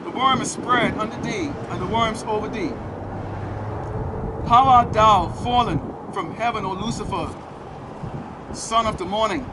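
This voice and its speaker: Street preacher, male, high-pitched and declaiming, recorded outside over heavy traffic noise. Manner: declaiming, reading scripture slowly then preaching loudly; rhetorical questions to passersby. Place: outdoors on a city street.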